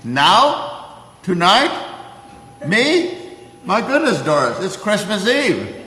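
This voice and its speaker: An actor playing a kindly, grandfatherly old Santa Claus in a radio play, gently fussy in the way he talks. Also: high voice, huge pitch swings, takes it slowly, echoey room, in a large hall, noisy background, heavily acted.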